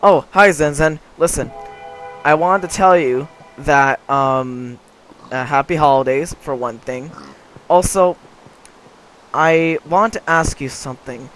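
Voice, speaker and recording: A man's voice: a little high, slow, a bit bright, a little hiss or hum, rough recording. A man hosting his game channel, talking hesitantly with many fillers and restarts. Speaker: channel host. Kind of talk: casual scripted game-video dialogue.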